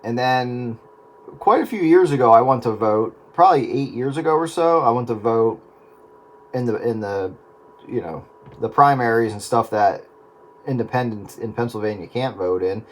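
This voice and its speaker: A man's voice: mid-range voice, expressive, normal speed, low-quality recording. A man in a casual, folksy radio chat, talking a little hesitantly as an operator on a two-way radio. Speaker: amateur radio operator in a round-table chat.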